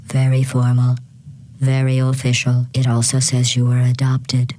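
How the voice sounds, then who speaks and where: An actress playing a passive-aggressive, coolly mocking artificial intelligence in a video game, deadpan and condescending. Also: female voice, very deep voice, a little slow, no room sound. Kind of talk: scripted video game villain dialogue.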